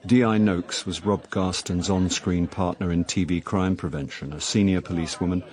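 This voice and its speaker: An actor playing a wry middle-aged actor turned amateur sleuth, narrating a radio drama in a dry, sardonic way. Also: male voice, sits low, steady tone, moderate pace, darkish tone, natural voice. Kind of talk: radio drama, first-person narration and dialogue.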